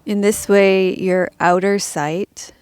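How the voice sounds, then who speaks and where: A woman leading a guided meditation as a teacher, speaking calmly and gently in measured instructions with pauses. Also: medium pitch, clear, resonant tone, dry recording, faint music behind.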